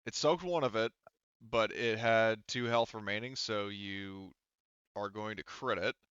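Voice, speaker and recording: A man's voice: on the low side, a little slow, a bit bright, smooth, dry recording. A man casually explaining rules and narrating as the game master of a tabletop roleplaying game. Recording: dull lo-fi microphone.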